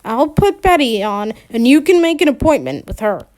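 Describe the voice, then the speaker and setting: Woman doing a brisk no-nonsense doctor guy, clipped, still kinda high. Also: big pitch swings, darkish tone. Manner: brisk and businesslike. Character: brisk adult male doctor.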